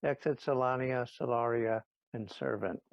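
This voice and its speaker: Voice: mid-range voice, moderate pace, gravelly, lo-fi sound. A narrator reading stage directions for an audio play in a scripted style.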